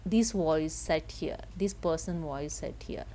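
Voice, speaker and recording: A woman giving a step-by-step software tutorial in halting, simple non-native English, with noise behind her. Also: low-pitched. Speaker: tutorial host. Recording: noticeable background noise.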